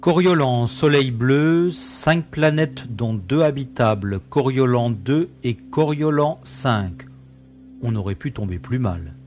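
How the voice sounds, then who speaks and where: A man's voice: mid-range voice, slow pace, a little mellow, faint music behind, natural voice. A man playing a practical, wry space adventurer in a science-fiction audio drama, with a matter-of-fact delivery. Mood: reading out data.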